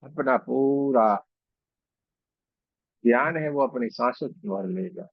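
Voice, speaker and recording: Creaky-voiced man guiding a meditation. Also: medium pitch, no echo, phone-quality sound. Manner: slow, soothing, repetitive instruction with frequent pauses. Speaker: reiki meditation guide. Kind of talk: guided meditation.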